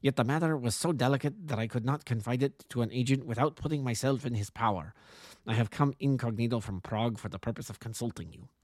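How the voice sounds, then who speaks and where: A man voicing a huge, agitated king in his thirties with a German accent and a deep, harsh voice, speaking formally in a storybook reading. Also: darkish tone, no room sound, close to an everyday voice. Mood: grave. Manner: formal, grandiose, deliberate. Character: agitated, imperious masked king in his thirties, gigantic nobleman.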